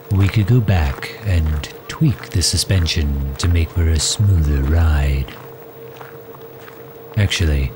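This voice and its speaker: A man playing a courtly, overprotective villain who fusses gently and formally in an ASMR roleplay drama. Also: very deep voice. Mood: solicitous, musing. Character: doting, overprotective male villain caring for injured hero.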